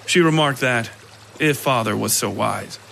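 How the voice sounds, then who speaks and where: A man narrating dark literary fiction from a script in a measured, grave storytelling manner. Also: medium pitch, vocal fry, slight background noise.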